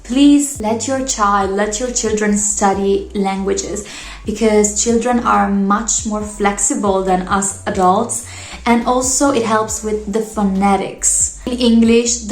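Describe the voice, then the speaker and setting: Italian-accented woman chatting in an echoey room. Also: medium pitch. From Italy. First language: Italian and Polish. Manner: chatty, earnest, fond of asides like "you know". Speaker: English teacher. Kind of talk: casual vlog monologue.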